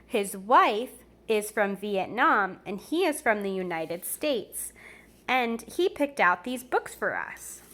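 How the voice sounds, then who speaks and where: A woman teacher reading a storybook aloud to young children expressively, pausing to explain and comment. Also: mid-range voice, animated, sharp tone. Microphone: recorded on camera for a video.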